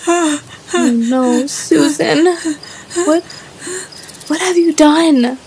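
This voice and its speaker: A woman's voice: high-pitched, drawn-out words, a little mellow, full character voice. A woman playing a tender, loyal rancher in a western audio drama, earnest and soothing. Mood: shocked. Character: tender, loyal rancher comforting her troubled family. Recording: clear background hiss and cricket ambience.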